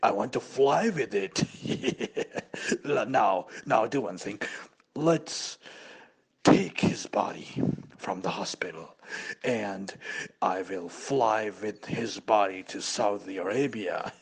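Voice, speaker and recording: A menacing crime boss, acted by a man, speaks extremely slowly in a husky, creaky voice. His pitch swings widely as he gloats in a sinister drawl for an audio drama. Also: lively intonation, heavily acted. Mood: gloating menace. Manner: slow, drawn-out, sinister delivery.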